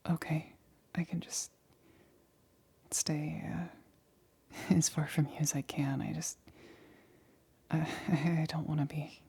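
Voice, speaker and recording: A woman playing an anxious, lonely female lab assistant in an ASMR roleplay, whispering softly and intimately with halting, hesitant stammers. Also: low-pitched, little pitch movement, exaggerated voice. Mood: anxious, pleading. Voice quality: breathy, whispered.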